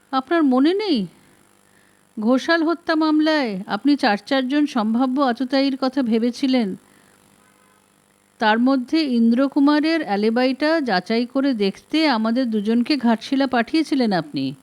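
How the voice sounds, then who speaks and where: Lady voicing a polite young detective guy in an audiobook, earnest, highish voice, very animated pitch. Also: a little slow, pauses often. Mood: earnest reporting. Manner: polite, explanatory. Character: earnest younger man, a detective reporting respectfully. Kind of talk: audiobook detective story reading.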